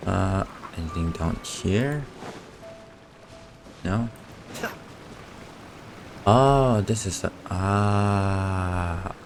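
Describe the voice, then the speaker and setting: A man's voice: sits low, drawn-out words, pauses often, background noise. A man streaming a game, giving casual, muttered commentary in short reactions. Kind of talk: casual live gameplay commentary. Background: game music.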